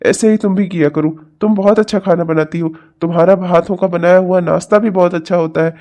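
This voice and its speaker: A man's voice: high voice, fairly even intonation, brisk, lightly acted. A man playing a kindly older father-in-law in a family drama, reasoning and placating as he speaks. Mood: reassuring. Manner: reassuring, gently reasoning. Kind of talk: melodramatic family story acting.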